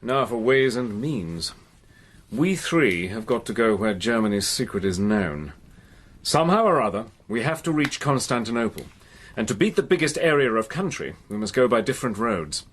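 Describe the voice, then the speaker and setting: An actor playing a steady army major in a radio drama, measured and earnest, also narrating. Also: male voice, mid-range voice. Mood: resolute.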